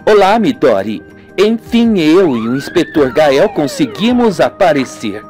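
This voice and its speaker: A man's voice: a little high, very animated, measured pace, a little mellow. A man voicing a serious, direct female police investigator in an amateur radio soap, over music. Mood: friendly greeting.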